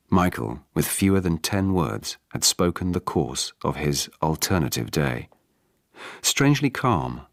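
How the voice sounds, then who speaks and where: A man reading a novel as a scripted radio narration, in a measured, restrained dramatic way. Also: a bass voice, vocal fry, no room sound, studio quality.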